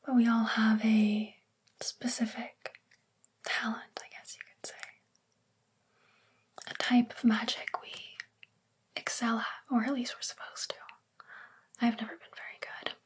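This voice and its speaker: A sweet witch librarian acted out by a woman speaks in a very breathy near-whisper, pitched on the high side. The delivery is gentle, confiding and slow, with little rise or fall, in the manner of a soft-spoken ASMR fantasy roleplay. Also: little pitch movement. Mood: wistful, explaining. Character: sweet young female witch librarian, gentle, self-doubting.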